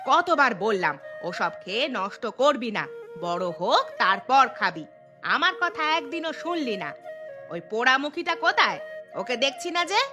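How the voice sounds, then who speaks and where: A woman's voice: high-pitched, very animated, moderate pace. A woman voicing a poor, harried village mother in a cartoon, nagging and complaining as she talks. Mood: scolding. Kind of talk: cartoon story dialogue. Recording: music behind the voice.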